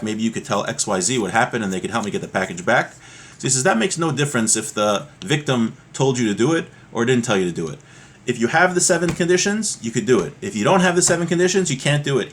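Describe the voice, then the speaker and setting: A man's voice: mid-range voice, a bit bright. A man teaching a Torah class in a conversational, explanatory way, moving between reading Hebrew text and explaining it informally, often checking in with the listener.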